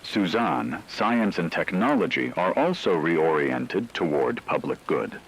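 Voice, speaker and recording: Deep-voiced man reading news over noise. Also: lively intonation, unhurried, rough recording. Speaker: radio news co-anchor. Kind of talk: scripted news narration.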